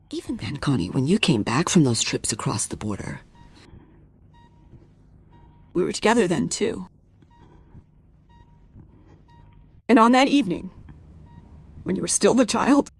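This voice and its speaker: An actress in a radio play voicing an anguished, fearful mother, speaking intimately and dramatically in a breathy, husky voice. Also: mid-range voice. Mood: wounded, intimate. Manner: dramatic, swinging from hushed to urgent. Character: anguished, fearful wife and mother, intense and brooding.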